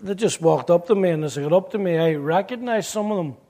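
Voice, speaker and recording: A middle-aged man from Northern Ireland with a Belfast accent, a pastor recounting his past in an interview with wry jokes and halting restarts. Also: high voice, mellow. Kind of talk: interview storytelling.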